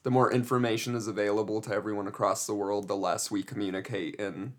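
A man's voice: on the low side, steady tone, quick pace. A young man co-hosting a casual podcast, joking and skeptical, swearing casually. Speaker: podcast co-host.

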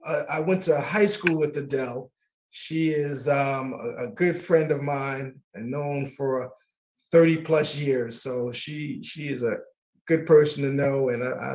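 A middle-aged man hosting a casual talk radio show, warm and laughing easily, often repeating his words. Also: mid-range voice, low-quality recording.

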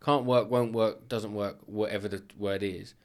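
A man, a business owner chatting as a podcast guest and telling quick, animated stories with asides. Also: fairly low pitch. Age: 30s. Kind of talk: casual podcast chat.

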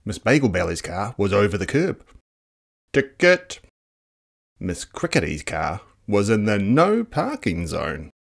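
A narrator reading a children's book aloud in a dramatic, playful, expressive style. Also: sits low, unhurried, creaky. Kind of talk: expressive storybook read-aloud.

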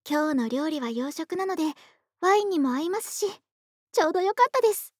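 A voice actress playing a polite, doting young woman next door in an intimate, soft-spoken ASMR roleplay. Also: extremely high pitch, animated, brisk, no echo, clean studio sound. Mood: happy, tender. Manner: soft-spoken, courteous, polite speech, affectionate. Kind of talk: Japanese ASMR situation voice drama, intimate roleplay. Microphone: close studio microphone, dry.

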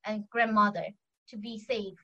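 A young woman with a Korean accent, speaking English as a second language, talking as a guest in an online conversation and often pausing to search for words. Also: mid-range voice, little pitch movement, pushed and bright, muffled recording. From North Korea. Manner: halting, searching for English words, many pauses. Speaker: guest, defector.

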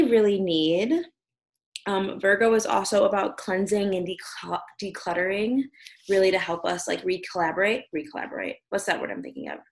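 A woman co-host talks through astrology in a relaxed, rambling way, full of fillers. Her voice sits a little low and sounds sleepy and slightly raspy, as if recording late at night over a video call. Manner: chatty, explains astrology with many fillers. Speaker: podcast co-host. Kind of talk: casual chat.

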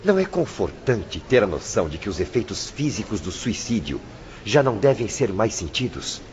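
An actor with a Brazilian accent playing a pious, courteous hospital attendant in a radio drama, speaking formally and preachily. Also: male voice, on the low side, sing-song, normal speed, a little mellow. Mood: consoling, exhorting. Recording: dull lo-fi radio recording, faint noise.

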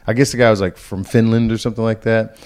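A man's voice: fairly low pitch, fairly even intonation, fast talker, a little mellow, clean tone. A man co-hosting a podcast in casual chat, laughing often and talking loosely with swearing. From Texas. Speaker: podcast co-host.

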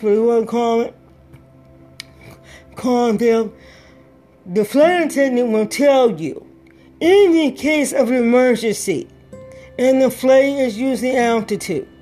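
Brief: middle-aged female life coach, raspy and breathy, unhurried, soft music underneath. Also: on the high side. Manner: emphatic, repeats key words. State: dry throat after a stroke. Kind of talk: motivational pep talk.

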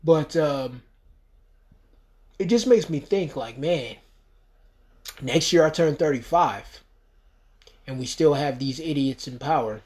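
A man's voice: a little high, slow, long pauses, a little mellow. A man in his thirties from the United States, talking alone on his podcast in a rambling, emphatic way, full of "you know" fillers. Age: 30s. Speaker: podcast host. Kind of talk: solo podcast monologue.

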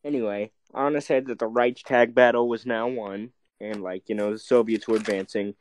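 A man's voice: on the low side, fairly even intonation, normal speed, darkish tone, a little hiss or hum. A young man co-hosting a movie review podcast, teasing and making crude jokes in casual banter. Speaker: podcast co-host.

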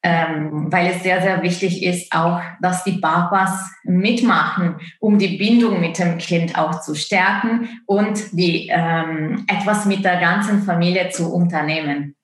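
A woman's voice: on the low side, a little slow, few pauses, reverberant. A woman from Italy whose first language is Italian, speaking with an Italian accent as an interview guest, hesitant and full of fillers. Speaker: guest, dance course founder. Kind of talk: interview answers.

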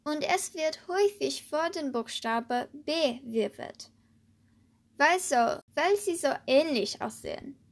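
A woman from the United States, a native English speaker, hosting a podcast and slowly reading a lesson in German with hesitant fillers. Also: sits high, lively intonation. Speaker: podcast host, German learner. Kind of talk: scripted language lesson podcast.

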